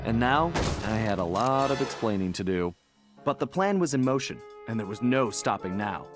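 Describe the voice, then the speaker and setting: A man voicing a cocky, easygoing young raccoon thief, telling his story wryly in an animated video game. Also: on the low side, moderate pace, close to an everyday voice. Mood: reflective. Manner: wry, smooth storytelling. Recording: clear music bed behind.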